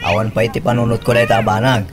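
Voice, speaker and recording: A man's voice: mid-range voice, flat delivery, fast talker, a crowd in the background, natural voice. A man playing an unmarried grown son in a comedic Ilocano radio drama. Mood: grumbling.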